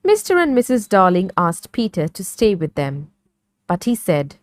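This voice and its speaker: A woman narrating a scripted children's story in a measured, clearly phrased read-along manner. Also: on the low side, very animated, noisy background.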